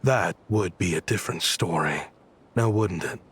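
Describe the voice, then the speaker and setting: An actor playing a brooding, vengeful man in a video game, husky and breathy, speaking in measured, deliberate phrases. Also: deep voice, fairly bright tone, slight background noise. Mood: cold, taunting. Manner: measured, taunting, dramatic pauses.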